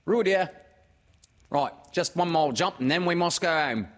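A man voicing a fussy, distracted mother kangaroo in a storybook, brisk and motherly. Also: a little high, expressive, long reverb tail, voice pushed up. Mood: fretful, motherly.

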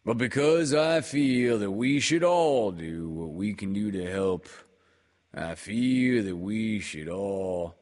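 Man doing a gloomy old donkey, very slow, low and pompous, hall echo. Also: expressive, heavily acted. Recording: long hall reverb.

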